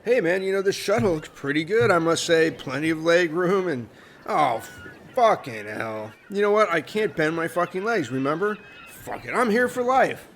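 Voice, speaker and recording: An excitable, slangy castaway voiced by a man in a comedy audio play. He is high-pitched and animated, swearing and exclaiming over light background noise. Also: moderate pace. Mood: frustrated. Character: excitable laid-back Californian castaway man with emu legs. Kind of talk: comedy audio drama acting.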